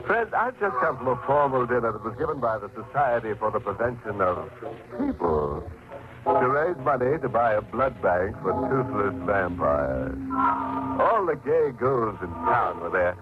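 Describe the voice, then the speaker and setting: A man's voice: fairly low pitch, very animated, normal speed. A man playing a gleefully morbid, mock-cordial horror show host on 1940s radio, drawling with droll relish. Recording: muffled, tinny 1940s radio, organ music behind.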